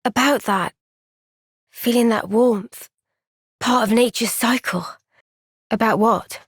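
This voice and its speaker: A woman with a British accent voicing an earnest, gentle, thoughtful young woman soldier in a video game cutscene. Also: fairly high pitch, slow pace, husky, no room sound, clean studio sound.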